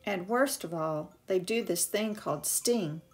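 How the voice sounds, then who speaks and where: A woman reading a children's picture book aloud with expressive, deliberate phrasing for young listeners. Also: on the low side, faint music behind. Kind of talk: read-aloud children's storytelling.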